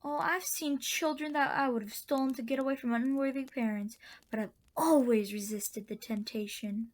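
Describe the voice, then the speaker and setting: A woman playing a kindly old woman of a magical land in a radio drama, speaking with gentle, genteel endearments. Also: sits high, fairly even intonation, moderate pace, close to an everyday voice. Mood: gentle, wistful.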